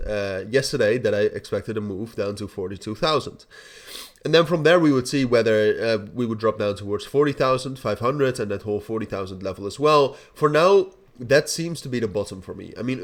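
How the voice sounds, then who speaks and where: A young man with a stuffy, nasal voice hosting a casual crypto update, rambling with many restarts and "all right?" checks. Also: medium pitch. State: blocked nose. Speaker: crypto channel host. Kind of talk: casual market update to camera.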